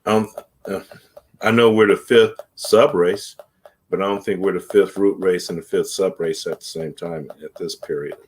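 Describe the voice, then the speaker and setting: A man's voice: deep voice, fairly even intonation, moderate pace, breathy edge. A man in casual chat, talking emphatically and swearing freely.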